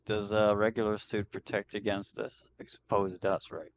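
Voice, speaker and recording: A man's voice: fairly low pitch, flat delivery, moderate pace, slight background noise. A man playing a tabletop roleplaying game, chatting casually in a blunt, sweary way.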